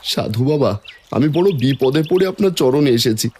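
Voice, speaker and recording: A man voicing a humble, anguished village farmer in an animated fairy tale melodrama. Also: medium pitch, normal speed, husky. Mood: pleading. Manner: pleading, anguished.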